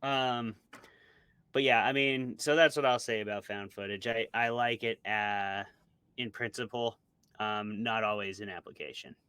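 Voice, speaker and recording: A man's voice: on the low side, fairly even intonation, unhurried, bright, forceful tone, clean tone, no echo. A man talking casually as an interview guest, giving his opinions and explaining them with examples. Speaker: interview guest, author and podcast co-host. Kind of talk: casual conversational discussion.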